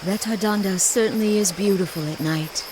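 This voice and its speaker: A gentle, caring woman from a video game, played by an actress. Her voice is medium in pitch, with clear ambient noise behind it. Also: moderate pace, lightly acted. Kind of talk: video game character voice acting.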